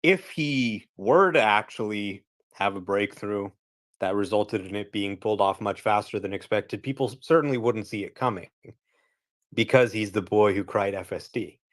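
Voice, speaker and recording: A man co-hosting a live chat, giving relaxed commentary and thinking aloud with fillers. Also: fairly low pitch, normal speed. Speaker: co-host. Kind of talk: casual chat, opinionated commentary.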